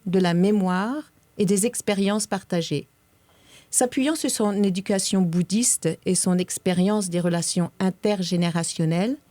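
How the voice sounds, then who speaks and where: Mellow, measured woman reading her essay. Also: medium pitch, no room sound. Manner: measured and reflective. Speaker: podcast co-host. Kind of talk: reading a prepared personal essay.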